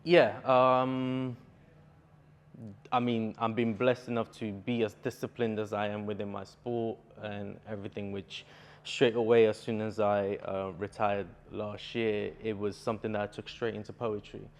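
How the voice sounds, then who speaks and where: Low-voiced young man from Bulgaria. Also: little pitch movement, measured pace. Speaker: poet guest. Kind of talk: casual interview chat.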